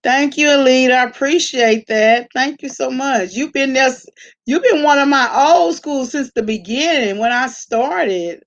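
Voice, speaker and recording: A woman with a fairly high voice hosts a casual live stream. She talks straight to her viewers in an informal, conversational manner, using plenty of slang. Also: unhurried, muffled recording. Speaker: live-stream host reacting to online gossip. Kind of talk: casual livestream chat with viewers.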